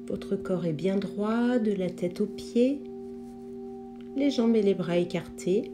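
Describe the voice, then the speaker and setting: A woman leading a guided yoga relaxation as an instructor, speaking calmly in measured, instructive phrases. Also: mid-range voice. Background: meditation music with singing bowl tones.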